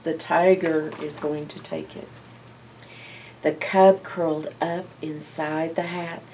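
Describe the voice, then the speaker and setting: A woman's voice: sits low, slow pace, slight background noise. An older woman reads a picture book aloud to young children as a storyteller, expressively and with questions to her listeners. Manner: expressive, asks listeners questions, animated reactions. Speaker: library storyteller. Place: indoor library, seated by bookshelves. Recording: slightly distant microphone.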